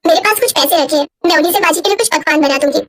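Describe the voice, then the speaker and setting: A woman's voice: high voice, racing, a bit bright, no echo, studio quality. A woman voicing a humble, dutiful, poor daughter-in-law with an earnest manner in a cartoon story. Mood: caring. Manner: meek, earnest. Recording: clear background noise.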